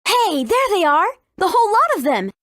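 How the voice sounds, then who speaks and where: A woman playing a spirited, confident teenage girl in a video game, brisk and questioning. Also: extremely high pitch, sing-song, a bit bright, no room sound, clean studio sound, heavily acted. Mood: concerned. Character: spirited teenage heroine, impatient and sure of herself.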